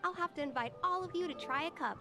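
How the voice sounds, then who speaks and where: A woman's voice: very high voice, fast. A woman voicing a cheerful, sweet young tea house owner in a video game. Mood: warm, eager. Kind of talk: English video game voice acting. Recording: music behind the voice.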